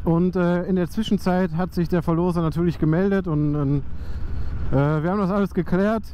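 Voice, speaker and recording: A man's voice: high voice, steady tone, fairly quick, mellow. A man chatting while riding a motorcycle, rambling with many fillers, recorded on a helmet camera mic. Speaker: motovlogger. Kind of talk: casual chat while riding. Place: riding a motorcycle outdoors on town roads. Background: faint engine and road noise.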